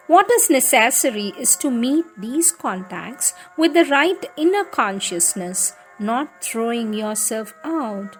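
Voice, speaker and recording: A woman reading spiritual letters aloud in a devotional style, with deliberate delivery. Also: sits high. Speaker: reader of spiritual teachings. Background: music in the background.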